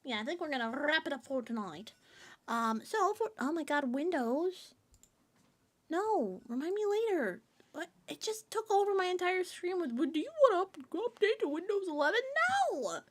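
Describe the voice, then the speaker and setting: A woman's voice: high-pitched, lively intonation, normal speed. A woman streamer chatting casually with her viewers, rambling and joking in a playful, sing-song way. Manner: rambling and jokey, reading chat aloud.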